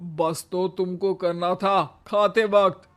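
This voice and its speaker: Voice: mid-range voice, steady tone, takes it slowly, fairly bright tone, clean tone. A performer voicing a teasing old father-in-law in a comic story, needling with dry mockery. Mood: dry jab. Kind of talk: comic moral story dramatization.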